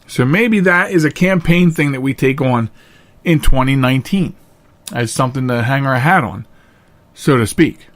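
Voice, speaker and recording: A man's voice: medium pitch, moderate pace. A man hosting a solo talk show, speaking directly to listeners in an earnest, emphatic way and repeating phrases to drive them home. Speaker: podcast host.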